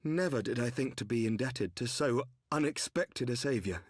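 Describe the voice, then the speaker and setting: A man's voice: medium pitch, moderate pace, no room sound, clean studio sound, lightly acted. A man playing a dignified, courteous knight commander in a fantasy game, with formal archaic speech. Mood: gracious, solemn. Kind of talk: fantasy game cutscene acting.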